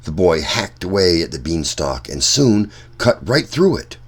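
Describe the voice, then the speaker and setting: Deep voiced guy reading a kids fairy tale, really slow and measured. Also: sing-song, breathy edge. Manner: deliberate, measured phrasing. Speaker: storyteller. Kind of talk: children's read-aloud narration.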